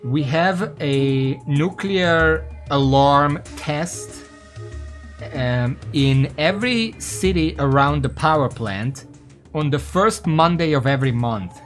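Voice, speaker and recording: A man from Hungary, giving casual, rambling, sweary live commentary as he streams a game and chats with viewers. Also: medium pitch.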